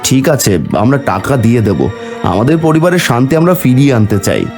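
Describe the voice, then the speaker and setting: A man's voice: mid-range voice, animated, fairly quick, darkish tone, soft background music, natural voice. A man voicing an earnest, peace-loving young farmer in a cartoon story. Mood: resolute.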